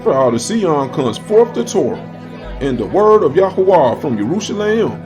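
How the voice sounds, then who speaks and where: A man's voice: on the high side, big pitch swings, moderate pace. A man playing an eager pilgrim who calls out and exhorts others in a dramatized audio Bible. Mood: joyful exhortation. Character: eager pilgrim calling peoples to worship. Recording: music and crowd behind the voice.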